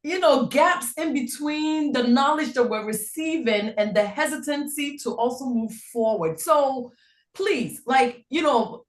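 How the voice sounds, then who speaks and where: A woman's voice: high-pitched, measured pace, roomy sound. A woman hosting a live-streamed interview over a video call, asking long, rambling questions full of fillers. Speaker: interview host.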